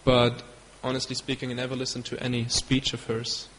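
A man's voice: mid-range voice, monotone, a bit bright, slight background noise. German-accented man telling a story in a hall. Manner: halting, searching for English words. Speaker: devotee giving a talk. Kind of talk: storytelling lecture. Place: hall in Belgium. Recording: long hall reverb with faint ambience.

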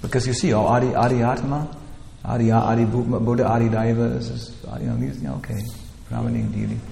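A man's voice: medium pitch, animated, fast, creaky, dark tone. A man teaching a scripture class in a rambling, informal way, full of "you know" and restarts. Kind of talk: informal spiritual lecture. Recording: roomy hall with long echo, faint ambience.

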